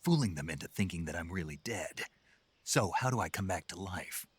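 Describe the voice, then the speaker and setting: A man voicing a laconic special forces soldier with a husky voice and a dry, sardonic wit in a game. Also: deep voice, lively intonation, fairly quick, no echo. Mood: wry. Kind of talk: game dialogue.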